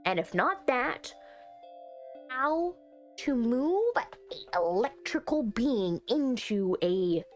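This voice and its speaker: A woman's voice: on the high side, big pitch swings, takes it slowly, faint music behind. A woman giving casual, chatty commentary as she plays through a visual novel, talking to herself with dramatic delivery. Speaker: game streamer. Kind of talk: casual visual novel playthrough commentary, reading text aloud.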